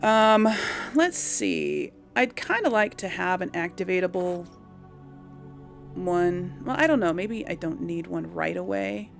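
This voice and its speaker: A woman talks through a video game in a casual, musing manner. Her voice sits slightly low with a noticeable creak, and she hesitates as she thinks out loud. Quiet music plays underneath. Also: lively intonation, measured pace. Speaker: video game let's player.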